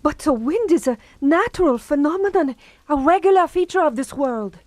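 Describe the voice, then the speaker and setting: An actress playing an anxious, devoted French maidservant in an audio horror drama, deferential, earnest and hesitant. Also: female voice, high-pitched, lively intonation, moderate pace, dry recording. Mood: pleading, worried.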